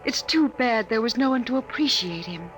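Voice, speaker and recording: Enigmatic young wife, higher voice with expressive swings, formal and serene, vintage radio with faint music. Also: female voice, low-quality recording. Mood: proud, mysterious. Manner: formal, measured. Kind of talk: 1950s radio drama acting.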